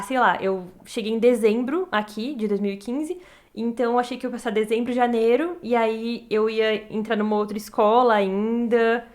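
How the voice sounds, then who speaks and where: This is a young woman from Brazil who speaks. She is a podcast guest and tells her story in a relaxed, easygoing way, full of little fillers. Her voice sits at an ordinary middle pitch for a woman. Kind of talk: casual chat.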